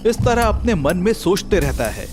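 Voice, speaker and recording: A male storyteller reads a scripted children's tale. His voice sits slightly high and swings very widely in pitch, animated throughout, over clearly audible music. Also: normal speed. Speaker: story narrator.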